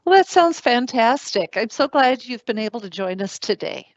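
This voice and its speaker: An upbeat woman hosts an online career fair and keeps the questions coming. Her voice is fairly high. It comes through dry, dull video call audio. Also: big pitch swings, normal speed, dry recording. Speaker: host. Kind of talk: moderating a virtual career fair.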